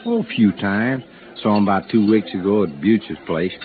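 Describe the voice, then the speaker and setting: Man playing a streetwise, chummy low-voiced gambler. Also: animated, slight background noise, close to an everyday voice. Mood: amused, slangy. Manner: slangy, drawn-out casual talk with teasing interjections. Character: easygoing, streetwise male gambler informant, chummy and sly. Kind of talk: 1950s radio drama acting. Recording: narrow, band-limited old radio recording.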